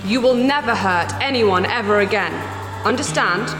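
An actress playing a resolute, commanding warrior daughter with a stern, measured delivery in a sci-fi audio drama. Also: female voice, medium pitch, music in the background, close to an everyday voice. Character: resolute warrior daughter defying her tyrant father. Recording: echoey hall reverb, faint noise.

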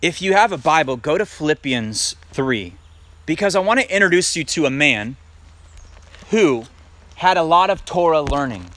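A man preaching a sermon and reading scripture aloud. He talks emphatically, puts direct questions to his listeners, and builds to loud peaks. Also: fairly high pitch, animated, moderate pace. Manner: asks the congregation direct questions. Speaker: messianic congregation teacher.

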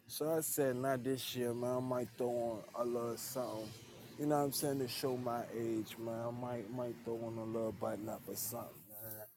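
A man's voice: mid-range voice, steady tone, moderate pace, noisy background. A man in his thirties chatting casually on a livestream, laid-back and earnest, often tagging "man" onto the end of his sentences. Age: 30s. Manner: laid-back, repeats "man" at line ends. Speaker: livestream host. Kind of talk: casual livestream chat with viewers.

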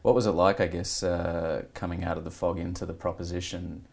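A male interviewer with a very low, flat, gravelly voice asks his questions haltingly. He gropes for the right words mid-sentence, and he sounds a little further from the mic than his guest.

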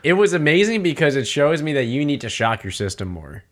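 A man's voice: fairly high pitch, sing-song, fairly quick, fairly bright tone. A man co-hosting a casual podcast, enthusiastic and teasing, giving advice with confidence. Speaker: podcast co-host.

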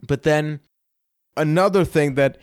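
A man from Puerto Rico with a Puerto Rican accent, co-hosting a casual podcast chat and telling animated stories. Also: on the high side, measured pace, clear, resonant tone, no room sound, studio quality. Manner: animated storyteller, laughs often. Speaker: podcast co-host.

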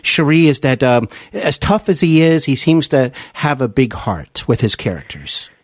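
A man hosting a conversational interview, polite and appreciative, with a slightly halting way of putting questions. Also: mid-range voice, sing-song, no echo. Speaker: podcast host.

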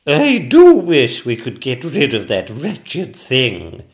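Haughty, imperious storybook Queen, played by a man: raised pitch, swooping, deliberate delivery. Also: huge pitch swings, exaggerated voice. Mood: cross. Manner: slow, emphatic, haughty pronouncements. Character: imperious, cross, haughty Queen in a comic fairy tale.

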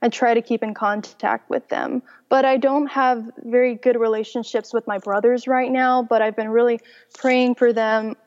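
A woman's voice: fairly high pitch, steady tone, moderate pace, a little mellow. A young woman from Mexico, a guest sharing her life story in a friendly conversation and laughing easily. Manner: cheerful, laughs often. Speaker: interview guest. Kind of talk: personal testimony in conversation. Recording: dull audio with long reverb.

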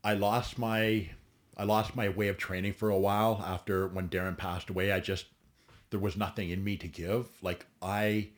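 A man's voice: fairly low pitch, flat delivery, moderate pace. A man in his forties, a bodybuilder guest telling his story bluntly in a podcast interview, swearing freely and often restarting. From Ontario, Canada.

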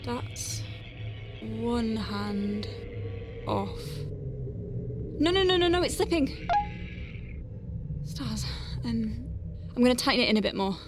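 High-voiced, nervy space medic. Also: female voice, animated, slow pace, long pauses, music in the background. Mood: nervous. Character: young female space medic Faye, flustered but awestruck, working inside star whale. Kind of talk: audio drama acting with first-person narration.

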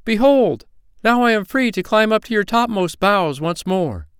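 A man's voice: high voice, wildly swooping pitch, normal speed, dry recording, clean studio sound, voice pushed up, heavily acted. A man voicing a fiery but kindly little old magical man in a storybook, exclaiming in formal, old-fashioned phrases. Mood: overjoyed.

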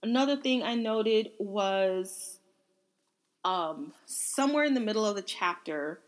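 A woman's voice: mid-range voice, lively intonation, unhurried. Woman casually talking through a book. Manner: conversational, acts out quotes informally. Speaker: book reviewer and podcast host. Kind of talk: casual book discussion, recounting and analysis.

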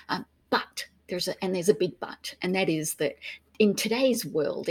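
Australian woman, middle-aged, guest expert; husky, slightly low, explanatory. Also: no echo. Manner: explanatory, frequent restarts. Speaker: author and engagement expert, guest. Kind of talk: podcast interview answer.

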